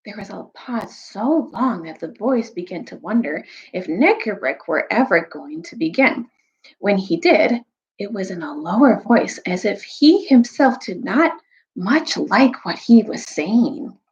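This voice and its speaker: A woman's voice: medium pitch, animated, unhurried, highs cut off. A woman reading a children's novel aloud as a storyteller. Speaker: storyteller, teacher.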